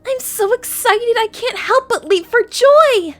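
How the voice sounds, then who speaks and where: A woman voicing an excitable, anxious young female alicorn pony in a gushing, rambling cartoon audio drama style, over music. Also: very high voice, unhurried. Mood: elated. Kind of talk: animated audio drama acting.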